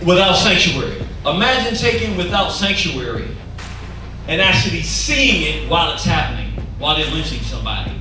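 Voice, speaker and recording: A man speaking in a casual, halting way, often pausing to search for words. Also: a little high, very animated. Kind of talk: casual talk. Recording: very echoey room, far from the mic, music behind.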